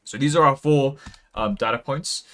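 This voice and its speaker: Rambling young man doing deadpan mock lecture. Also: medium pitch, moderate pace. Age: young adult. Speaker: video creator. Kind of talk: mock-serious graph explainer. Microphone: close mic that peaks.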